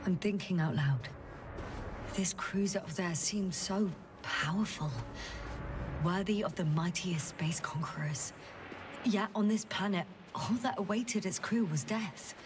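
A woman's voice: lowish voice, a little slow, distant mic, noisy background, lightly acted. An actress playing a pensive, wry woman space scientist in a video game, speaking with dry understatement. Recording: loud music behind.

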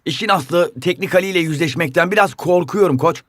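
A man voicing an excitable, insecure young footballer in a cartoon, with an expressive, anxious delivery. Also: a little high, quick pace, no echo, studio quality, natural voice. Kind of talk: cartoon dubbing.

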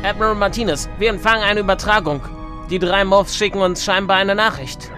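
Male actor as teenage space ruler, higher voice, eager yet unsure, music behind. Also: brisk, lightly acted. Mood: alert. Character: fifteen-year-old boy ruler, unsure but eager.

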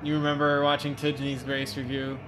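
A man's voice: mid-range voice, monotone, brisk, noisy background. A young man with a stuffed-up nose chatting casually with viewers while he streams a racing game from home, reading out chat and joking along. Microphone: desk mic, webcam framing.

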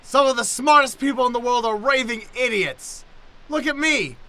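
Man playing a high-pitched, drunk, theatrical schemer. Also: normal speed. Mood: manic, scheming. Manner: grandiose, dramatic, swinging from murmurs to shouts. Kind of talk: improvised old-time radio play acting. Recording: noticeable background noise.

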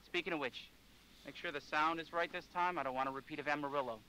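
Casting: cocky, cynical TV presenter in a horror game, slightly higher voice, bright edge. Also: male voice, quick pace, a little hiss or hum, poor audio. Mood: sarcastic. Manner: sarcastic, swaggering. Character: cocky jaded male TV show host. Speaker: game character. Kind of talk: video game cutscene dialogue.

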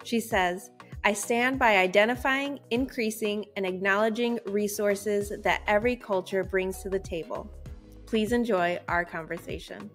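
A woman's voice: mid-range voice, normal speed, faint music behind. A woman hosting a podcast, reading an intro and then talking with a guest over a video call on a headset, upbeat and grateful. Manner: upbeat, warm thanks to guest. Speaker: podcast host. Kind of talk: scripted intro, then interview. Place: home office.

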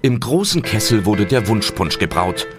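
A man with a fairly low voice tells a children's fantasy story. His scripted narration is lively in pitch and sits over loud music. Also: normal speed.

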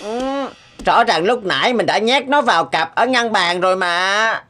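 A woman's voice: medium pitch, expressive, measured pace. A woman voicing an anxious schoolboy in a cartoon, earnest and childlike. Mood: worried. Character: anxious schoolboys in a moral tale. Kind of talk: cartoon dubbing. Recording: loud guitar music behind.

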